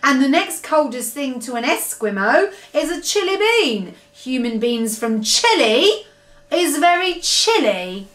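A woman's voice: sits high, big pitch swings, a little slow, a bit bright, roomy sound, pitched above the performer's own voice, heavily acted. A woman voicing a brutish, greedy male giant from a storybook, bellowing and scornful in mangled grammar. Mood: gloating.

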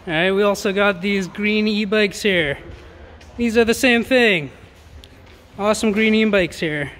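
A man with a high-pitched voice shows off sale items for an auction house. His patter is upbeat, informal and slangy, aimed straight at buyers. He records on a handheld device in a warehouse, with clear background noise. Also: unhurried, a bit bright. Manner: enthusiastic, slangy sales patter. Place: large warehouse showroom, indoors.

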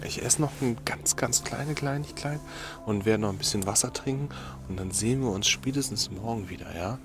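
A young man whispering to the camera under a tarp at night, hushed and rambling. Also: on the low side, brisk, background noise. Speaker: outdoor vlogger.

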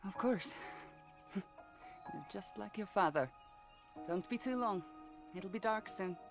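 A woman's voice: mid-range voice, animated, slow, music in the background. A woman acting in a video game as a middle-aged, affectionate mother of a young hunter. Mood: fond. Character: caring mother of a teenage hunter.

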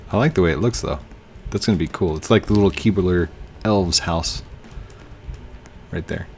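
Man with a low voice, chatting to game pieces. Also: moderate pace, creaky, a little mellow, a little hiss or hum. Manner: chatty, talks to game objects, hums while working. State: slightly losing his voice. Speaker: gaming channel host. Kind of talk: casual let's play commentary. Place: home room, indoors. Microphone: headset.

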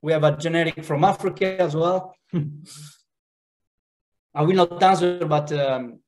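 A man with an Italian accent hosts an online webinar in a relaxed way, pausing often with "uh" fillers. He speaks over a video call from a home office. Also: on the high side. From Italy. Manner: relaxed, hesitant, with frequent "uh" fillers. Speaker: webinar host.

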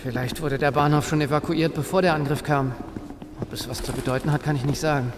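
A man's voice: a little high, steady tone, fast talker, lightly acted. An actor playing a wary, impatient male survivor in an audio drama. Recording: reverberant hall, faint noise.

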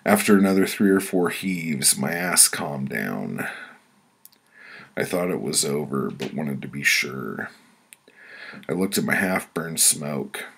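Male author-narrator, bottom-of-the-range rasp, slow delivery, crude comic first-person storytelling. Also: rumbling low, lively intonation, fairly bright tone. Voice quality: gravelly, rough. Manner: crude, profane, blunt. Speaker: author reading their own audiobook.

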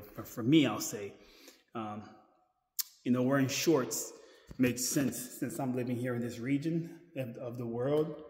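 A man's voice: medium pitch, darkish tone. A man in his thirties chatting casually to a handheld camera while walking around a large indoor gym, rambling and repeating himself. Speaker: fitness vlogger. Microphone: handheld camera at arm's length. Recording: echoey hall with long reverb.

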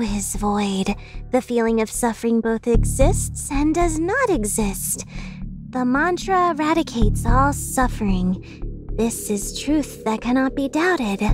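Woman acting a high-voiced, prim anime schoolgirl. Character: prim, serious teenage girl on discipline committee. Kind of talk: anime fandub radio play acting.

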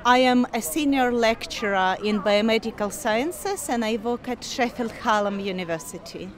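A woman in her forties, originally from Ukraine, speaks English with a Ukrainian accent in an outdoor park interview, earnest and measured with frequent pauses. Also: fairly high pitch, expressive. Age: 40s. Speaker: interviewee, scientist. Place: park outdoors, people milling behind.

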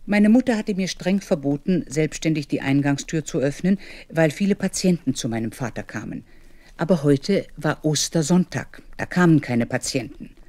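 A woman's voice: low voice, lively intonation, normal speed, warm tone, no room sound. An actress playing a reflective grandmother who tells of her own childhood as a radio play narrator. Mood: reminiscing. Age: older.

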